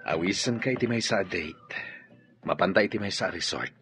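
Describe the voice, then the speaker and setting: An actor playing a smooth romantic suitor in a radio drama. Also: male voice, low-pitched, normal speed, music in the background, lightly acted. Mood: bantering.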